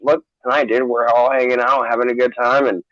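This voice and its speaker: A man in his thirties co-hosting a livestream, talking excitably with casual swearing. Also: mid-range voice, a little fast, no echo. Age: 30s. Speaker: co-host. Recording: dull lo-fi dry sound.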